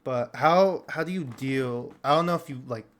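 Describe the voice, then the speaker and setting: A young man hosting an interview, asking questions in a halting way full of fillers and loose phrasing. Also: medium pitch, a bit bright. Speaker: podcast interviewer host.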